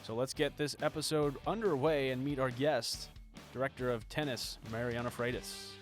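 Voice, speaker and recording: A young man hosts a sports podcast, reading an upbeat scripted opening before settling into relaxed interview questions. His voice sits at a middle pitch, and soft guitar music plays faintly behind him. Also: normal speed. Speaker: podcast host, sports communications staff.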